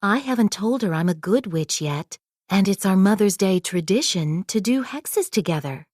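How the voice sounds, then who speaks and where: Young good-hearted witch, played by a woman: earnest storybook child, plain-spoken, animated pitch. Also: normal speed, dry recording, clean studio sound, close to an everyday voice. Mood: matter-of-fact.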